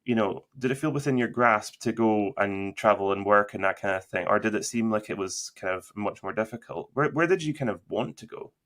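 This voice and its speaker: Rambling male interviewer, low voice. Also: a little fast, hardly any pauses, fairly bright tone. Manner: rambling questions with many fillers and restarts. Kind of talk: casual interview conversation.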